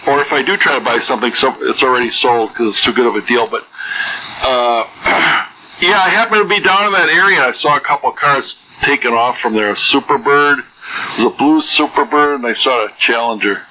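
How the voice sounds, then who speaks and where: A man's voice: medium pitch, a little fast. Muffled, noisy radio, rambling Midwestern American man. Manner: rambling, thinking aloud. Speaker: amateur radio net participant. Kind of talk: casual radio chat. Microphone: two-way radio. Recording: noisy band-limited shortwave radio transmission.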